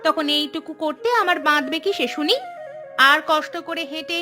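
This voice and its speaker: A woman's voice: high voice, moderate pace, over music, lightly acted. A woman voicing a caring, hardworking young village wife in a Bengali cartoon. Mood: fond, brisk.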